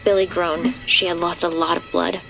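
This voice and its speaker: A woman's voice: on the low side, little pitch movement, moderate pace, gravelly, low-quality recording. A woman as the composed third-person narrator of a scripted horror audio drama. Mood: matter-of-fact. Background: loud music.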